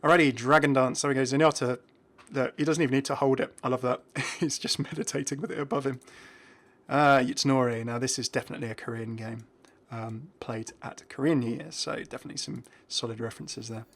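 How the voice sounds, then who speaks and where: A man presents a gaming video in a mid-pitched voice. His commentary is informal and conversational, with an enthusiastic, chatty delivery. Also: steady tone, fairly quick, fairly bright tone.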